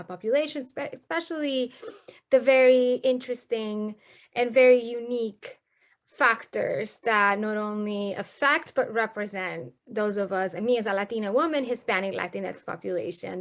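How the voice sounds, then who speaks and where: A woman from Colombia with a Colombian Spanish accent, a sociology professor answering interview questions in an earnest, explanatory way. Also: mid-range voice.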